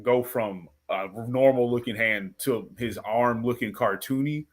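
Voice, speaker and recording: A man's voice: medium pitch, measured pace. A young man talking casually as a co-host on a group podcast.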